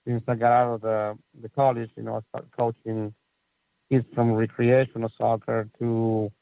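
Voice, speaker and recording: A man's voice: mid-range voice, little pitch movement, moderate pace, gravelly, no room sound. A man in his forties from Chile with a Chilean Spanish accent, speaking as a guest in a casual interview, rambling with many fillers and restarts. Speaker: guest, soccer facility owner and coach. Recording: tinny phone-like line.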